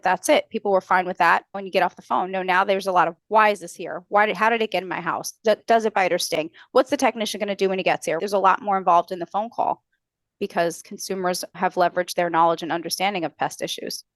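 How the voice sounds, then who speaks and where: Woman, trainer, conversational advice, explanatory, medium pitch, close dry sound. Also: steady tone, quick pace, no echo. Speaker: customer service trainer, podcast guest.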